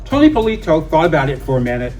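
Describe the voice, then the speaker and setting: Male storybook reader, higher-pitched and animated, expressive children's read-aloud. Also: rapid-fire, slight background noise. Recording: distant mic, music behind.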